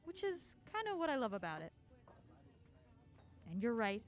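A woman acting an anxious, quick-witted young woman on the run in a radio drama. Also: fairly high pitch, sing-song, dull audio, soft background music. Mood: relaxed, playful.

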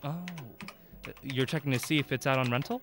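A man playing a mild, curious young sidekick in an audio drama, gently wry and a little hesitant. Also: mid-range voice, brisk, noisy background, close to an everyday voice. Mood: inquisitive.

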